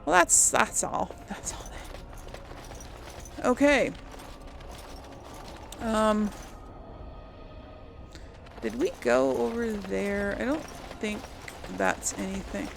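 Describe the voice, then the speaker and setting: Casting: female let's play host, casual and chatty, noticeable vocal fry, mid pitch, faint game ambience behind. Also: lively intonation, drawn-out words, pauses often, slight background noise. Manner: chatty, musing aloud. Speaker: gaming video host.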